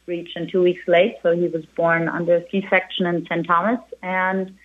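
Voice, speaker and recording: Low, flat-voiced German-born woman. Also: normal speed. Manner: chatty, many restarts and "you know". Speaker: restaurant owner. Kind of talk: interview guest, casual. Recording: phone-like narrow band.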